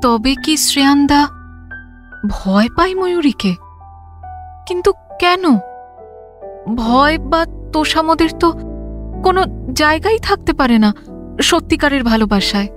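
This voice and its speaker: A woman's voice: high voice, lively intonation, a little slow, pauses often. A woman playing a shy, lovestruck young junior office worker in an audio drama, hesitant in manner. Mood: wistful, troubled. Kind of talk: audio story acting. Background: background music.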